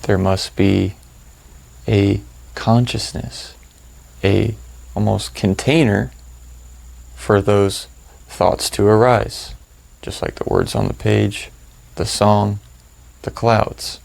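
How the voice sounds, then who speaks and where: Low, gravelly man guiding a slow meditation. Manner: calm, hushed, unhurried with long pauses; informal, plain-spoken. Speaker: meditation guide. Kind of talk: slow guided meditation, unscripted and conversational.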